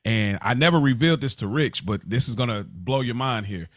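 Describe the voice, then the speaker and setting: A man's voice: mid-range voice, lively intonation, brisk, a bit bright, no room sound. A man co-hosting a podcast in casual chat, speaking informally with slang and an appreciative manner. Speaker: podcast co-host and producer.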